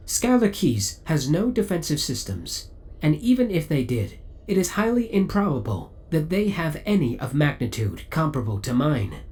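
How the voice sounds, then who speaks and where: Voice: mid-range voice, darkish tone, lightly acted. An actor voicing a possessive, devoted spaceship AI in an audio roleplay, speaking in a formal, precise, clinical and politely menacing way. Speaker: voice actor playing a ship's AI. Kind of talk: scripted ASMR audio roleplay acting.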